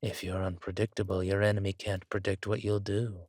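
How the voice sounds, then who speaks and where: A man voicing a suave fantasy king in a villain roleplay audio drama, speaking with smooth, measured and quietly threatening courtesy. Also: low-pitched, little pitch movement, no room sound, clean studio sound. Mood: quietly menacing. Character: suave menacing male fantasy king, softly imposing.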